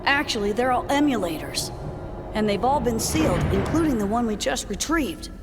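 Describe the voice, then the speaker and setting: A woman's voice: on the high side, expressive, moderate pace, music in the background, close to an everyday voice. Woman acting a candid executive in a reverberant hall. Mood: matter-of-fact. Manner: blunt, colloquial, explanatory. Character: candid, self-assured woman executive in a sci-fi drama. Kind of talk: video game voice acting.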